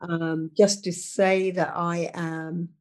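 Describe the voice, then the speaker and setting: A woman born in Uganda, a memoir author, introducing herself in an unhurried, hesitant way with many fillers. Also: on the low side, level tone, darkish tone. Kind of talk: casual conversation.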